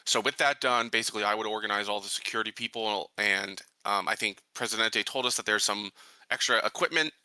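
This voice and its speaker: A man's voice: on the low side, a little fast, pushed and bright, no room sound. A man playing a tabletop game, casually explaining his moves.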